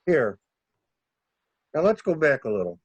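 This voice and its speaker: A man acts a probing stranger in a murder mystery reading. His slightly husky voice is lively and varied, coolly insistent and a little halting, recorded close and dry. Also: a little high, no echo, exaggerated voice. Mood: coolly curious.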